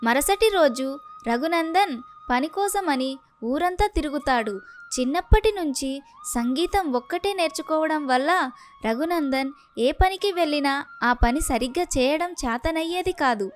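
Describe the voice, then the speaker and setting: A woman's voice: high-pitched, lively intonation, measured pace, faint music behind. A woman narrating a scripted children's story.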